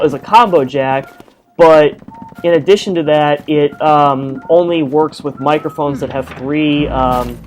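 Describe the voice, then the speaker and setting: A man giving casual, rambling let's-play commentary through an earphone headset mic, full of fillers and self-deprecating asides. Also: fairly high pitch. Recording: game music playing behind. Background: game music.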